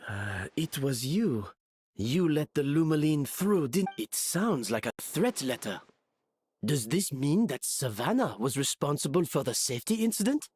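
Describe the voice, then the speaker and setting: A woman playing an earnest, conscientious and concerned colleague in an anime game, with a slightly husky voice. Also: sits low, sing-song, a little slow, darkish tone, exaggerated voice. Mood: grave, accusing.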